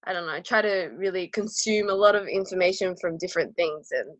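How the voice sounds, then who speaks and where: A woman's voice: on the low side, fast talker, dry recording, poor audio. A young woman from Australia, a powerlifter guest, who talks in a hesitant way with many fillers and laughs easily. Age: young adult. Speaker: guest, powerlifter and university student. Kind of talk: casual interview chat.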